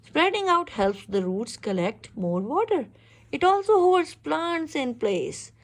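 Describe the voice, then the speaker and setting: A woman reads a children's picture book aloud in an expressive, instructive way, pausing to ask questions. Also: sits high. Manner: expressive, instructive, exclaims and checks understanding. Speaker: reader teaching a young child.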